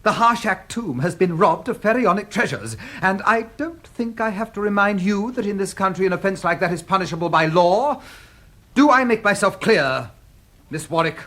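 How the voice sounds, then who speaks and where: Radio-drama diplomat: British, stern, formal and clipped, widely varied intonation. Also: male voice, sits high, lively intonation, moderate pace, sharp tone, heavily acted. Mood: stern warning.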